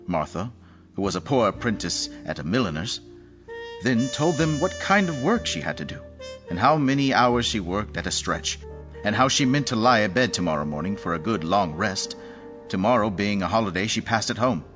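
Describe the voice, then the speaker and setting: Animated male storyteller with music behind. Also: on the low side, normal speed. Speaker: narrator. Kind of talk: animated scripted storytelling of Victorian classic. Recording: dull lo-fi audio with music behind.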